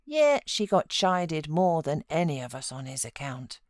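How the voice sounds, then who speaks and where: A British woman narrating a classic novel as an audiobook reader, expressive and dramatic in her storytelling. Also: low-pitched, a little slow, dry recording, studio quality. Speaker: audiobook narrator, voiceover artist.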